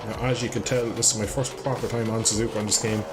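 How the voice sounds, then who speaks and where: A man's voice: on the low side, little pitch movement, fast talker, over music. A man chatting his way through a live game stream, rambling and swearing when he crashes. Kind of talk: live gameplay commentary.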